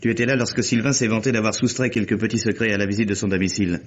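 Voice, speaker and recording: Low-voiced, cold accuser, muffled recording. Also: male voice, steady tone, racing, slightly rough. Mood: accusing. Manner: curt, controlled. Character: cold, accusing male office employee, wearily contemptuous. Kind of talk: vintage French radio mystery acting. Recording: dull, lo-fi old radio recording.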